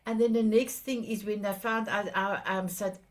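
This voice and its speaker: South african woman host, earnest and halting, lots of restarts. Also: medium pitch, steady tone. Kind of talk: conversational interview.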